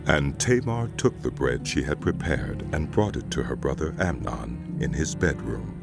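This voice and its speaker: Male scripture narrator, extremely deep and creaky, expressive dramatic reading over loud music. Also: normal speed.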